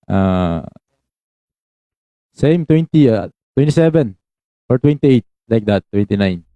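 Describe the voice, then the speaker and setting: Smooth Filipino man greeting viewers. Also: fairly low pitch, animated, slow pace, dry recording, narrow, tinny audio. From Philippines. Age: 20s. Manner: greets viewers by name between chat, mixes Tagalog and English. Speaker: live stream host. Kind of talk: casual live-stream chat.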